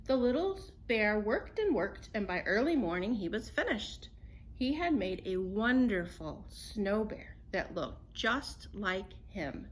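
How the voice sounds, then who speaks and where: A woman storyteller reading a children's picture book aloud in an expressive, unhurried way. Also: mid-range voice, a little hiss or hum, poor audio.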